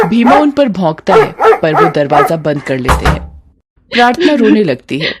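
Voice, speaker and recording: A woman's voice: fairly low pitch, heavy background noise. A woman reading a scripted moral bedtime story as the narrator.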